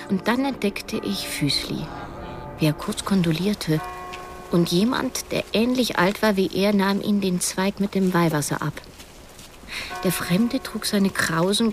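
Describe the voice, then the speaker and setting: An actress playing a reflective, wistful narrator who tells her story in measured, literary radio drama style. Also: mid-range voice, natural voice. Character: reflective woman narrator recalling events, wistful.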